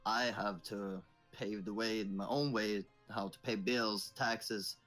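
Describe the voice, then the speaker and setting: A young man from Sweden, co-hosting a casual podcast chat and pausing to search for English words. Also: on the low side, fairly even intonation, a bit bright, faint music behind. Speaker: podcast co-host.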